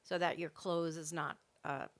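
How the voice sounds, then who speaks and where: Low-voiced veteran teacher woman. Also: fairly even intonation, unhurried. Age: older. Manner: matter-of-fact, explanatory. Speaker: schoolteacher guest. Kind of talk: casual interview chat.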